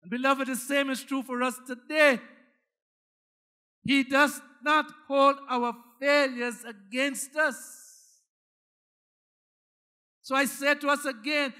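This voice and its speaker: High-pitched Guyanese preacher, a man. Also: level tone, slow, long pauses, bright, forceful tone. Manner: emphatic, dramatic, repeats phrases for effect. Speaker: pastor. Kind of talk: sermon.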